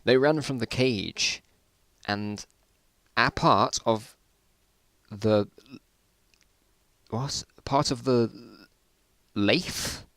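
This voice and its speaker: Creaky-voiced man doing a casual dramatic reading. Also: mid-range voice, extremely slow, pauses often, fairly bright tone, no echo. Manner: self-mocking, bemused asides while reading. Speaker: narrator reading own old school stories.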